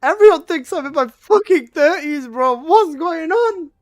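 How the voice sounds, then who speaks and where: A man's voice: very high voice, expressive, a little slow. A man in his twenties, a game streamer chatting casually and jokingly with his live chat, swearing often. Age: 20s.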